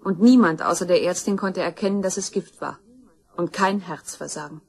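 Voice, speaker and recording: Young woman out for justice, crime radio drama, husky, insistent and accusing. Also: fairly low pitch, normal speed, a little mellow. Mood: grave, insistent. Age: young adult. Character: grim, determined young woman seeking justice for her murdered mother. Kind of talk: German crime radio play acting.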